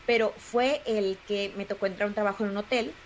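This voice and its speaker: A woman in her thirties hosting a casual podcast chat and telling her own story in a lively, animated way. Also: medium pitch, fairly quick, a little hiss or hum. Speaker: podcast host.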